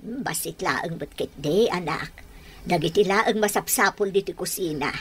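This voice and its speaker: A woman with an Ilocano Filipino accent playing a gentle, thrifty middle-aged mother in a radio drama. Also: on the low side, normal speed, husky.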